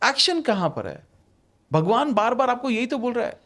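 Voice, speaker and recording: A man's voice: extremely high pitch, wildly swooping pitch, normal speed, a bit bright. A man on a podcast as a guest author, explaining ideas emphatically with rhetorical questions and English phrases mixed into his talk.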